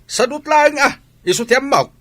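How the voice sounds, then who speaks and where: A man playing a stern, stubborn farmer father with a gruff, scolding manner in a village comedy radio drama. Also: very high-pitched, expressive, moderate pace, a bit bright, heavily acted. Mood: scornful, stubborn.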